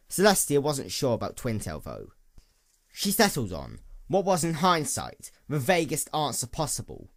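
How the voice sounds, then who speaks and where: A man's voice: medium pitch, fairly bright tone, no echo, clean studio sound. A man reading a dramatic scripted story as its narrator. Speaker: narrator, fan fiction reader.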